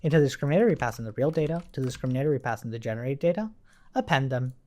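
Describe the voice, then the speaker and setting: A man's voice: mid-range voice, lively intonation, racing, a little mellow. A man giving an informal coding tutorial as an instructor, thinking aloud while he types and often checking in with a questioning tag. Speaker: programming instructor.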